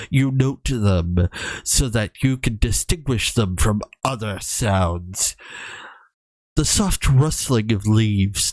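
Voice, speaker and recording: Male streamer, unhurried, close dry sound, informal campfire-style horror reading with self-corrections and joking asides. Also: fairly low pitch, no room sound. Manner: stumbles over text and corrects himself, wry joking asides. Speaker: streamer, VTuber host. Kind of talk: casual reading of a horror story aloud with asides.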